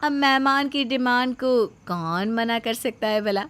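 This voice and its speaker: A woman narrates a scripted family moral story. Her voice sits a little high, and she delivers it as a storyteller reading to listeners. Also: a little slow, fairly bright tone, clear, resonant tone.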